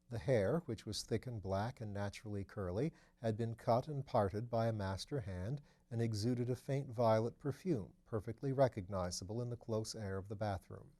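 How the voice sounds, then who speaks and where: A man reading a novel aloud as scripted literary narration, with measured, careful phrasing. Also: on the low side, mellow. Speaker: audiobook reader.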